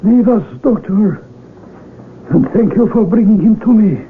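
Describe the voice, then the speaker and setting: An aged, blind village elder in a radio play, gentle and patient. The voice sits high and moves in slow, deliberate phrases. The recording is narrow and telephone-like, with faint noise. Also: male voice. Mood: calm, wise. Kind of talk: radio drama acting. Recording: narrow-band noisy old radio drama recording.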